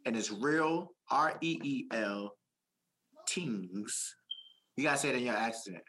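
Male co-host at a middling pitch, easygoing and jokey, searches for words mid-sentence. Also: animated, bright, forceful tone, slight background noise, poor audio. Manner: halting, many ums and restarts, playful. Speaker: podcast co-host. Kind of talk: casual chat.

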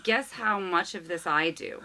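A woman's voice: lowish voice, unhurried, sharp tone, a little hiss or hum, low-quality recording. A woman co-hosting a podcast in a casual chat, with a nasal voice and wry, self-deprecating humor. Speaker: podcast co-host.

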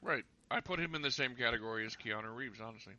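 A man's voice: medium pitch, fast, pushed and bright, muffled recording, slight background noise. A man in casual podcast chat about movies, hesitant and full of restarts. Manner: hesitant, many fillers and restarts. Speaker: podcast co-host.

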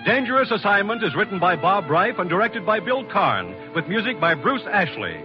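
An American man announces in vintage 1940s radio style. His high voice is very lively, over clear music on a dull old recording. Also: moderate pace.

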